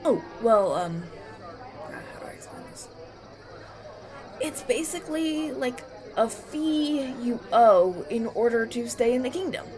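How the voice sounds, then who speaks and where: Young human farm lad played by a man, fantasy audio drama. Earnest, stammering, very animated, banquet chatter behind. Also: extremely high pitch, a little slow. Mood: hesitant, earnest. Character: polite, nervous young human farm boy at elven banquet. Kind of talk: fantasy audio roleplay acting.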